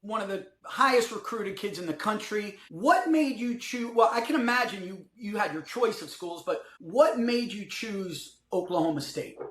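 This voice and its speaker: A man's voice: high-pitched, animated, normal speed, hardly any pauses, a bit bright. A man hosting a casual podcast interview, greeting guests with enthusiastic hype and asking easygoing questions. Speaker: podcast host. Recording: echoey room.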